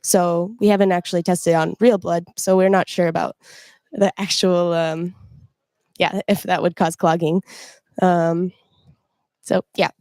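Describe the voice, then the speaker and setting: A woman's voice: on the low side, level tone, measured pace, pauses often, a little mellow, no room sound, clean studio sound. A young woman in her twenties, a student presenter answering audience questions, hesitant with many fillers. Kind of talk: answering questions after a talk.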